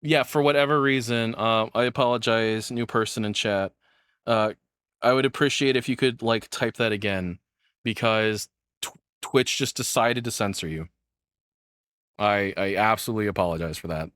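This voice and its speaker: A man's voice: on the low side, moderate pace, a bit bright, clear, resonant tone, no echo. A man giving casual live reaction commentary as a streamer, rambling and swearing often, close to the microphone.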